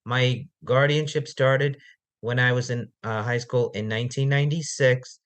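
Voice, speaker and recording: A man in his forties speaking slowly and deliberately about his own experience, pausing between phrases. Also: mid-range voice. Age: 40s. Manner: slow, deliberate, halting. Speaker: interviewee. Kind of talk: personal testimony.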